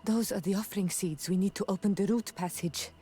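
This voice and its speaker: A woman's voice: medium pitch, fairly quick, warm tone, slightly rough, close to an everyday voice. A woman playing a reserved native islander loyal to tradition in a fantasy video game, speaking earnestly and formally. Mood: grave, reserved. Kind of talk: video game dialogue acting.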